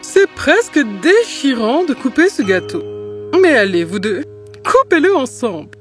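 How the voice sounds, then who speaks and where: Woman acting kindly fairy tale aunt, high voice, animated melody, reassuring manner, music behind. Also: unhurried. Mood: kindly concerned. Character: caring aunt consoling her jealous young niece.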